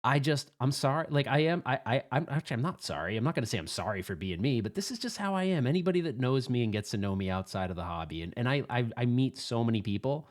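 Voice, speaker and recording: A man's voice: mid-range voice, animated, quick pace, hardly any pauses. A man hosting a podcast in casual, earnest, rambling chat with an upbeat manner. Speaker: host of a live pinball podcast. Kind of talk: casual chat, reacting to voicemails.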